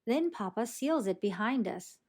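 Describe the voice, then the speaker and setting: Slow-paced woman reading a children's storybook. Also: a little high. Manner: animated, acts out sound effects and character lines. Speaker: storybook reader. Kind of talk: expressive children's read-aloud narration.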